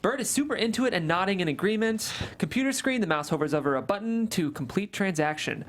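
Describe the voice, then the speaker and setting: A narrator reads the scene directions aloud at a table read. The voice is mid-pitched and delivers the lines in a plain, even way. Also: fast. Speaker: narrator reading stage directions. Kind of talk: table read of a comedy script.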